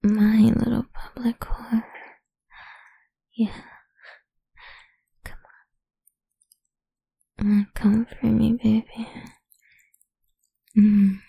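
A woman's voice: a little high, level tone, drawn-out words, vocal fry, pauses often, dark tone, slightly rough, no room sound, low-quality recording. A young woman whispering close to the microphone in an intimate roleplay, with a dominant, teasing manner. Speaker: audio roleplay performer.

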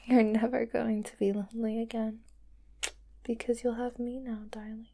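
A woman voicing a doting, possessive young girlfriend who holds her lover captive, talking softly and sweetly in a whispery voice. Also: on the high side, flat delivery, normal speed, creaky. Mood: soothing. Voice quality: soft and whispery. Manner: sweet, clingy, coaxing. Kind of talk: roleplay audio drama to the listener.

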